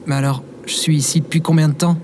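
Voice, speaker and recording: A man's voice: fairly high pitch, little pitch movement, fast talker, background noise, natural voice. A man playing a good-natured, bewildered everyman survivor in an audio drama, with a hesitant, naive way of talking.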